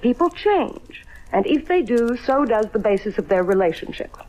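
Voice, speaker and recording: High, animated, insistent radio-drama wife. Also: female voice, normal speed, poor audio. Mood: pleading, insistent. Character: spirited, insistent, playful wife wanting attention and fun. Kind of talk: old-time radio drama acting.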